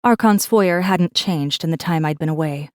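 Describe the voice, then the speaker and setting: Actress as wry female rebel, lowish voice, guarded, controlled, intimate first-person audiobook narration, dry close sound. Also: normal speed, a little mellow, no room sound, lightly acted. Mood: wry, irritated. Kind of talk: scripted dramatic narration.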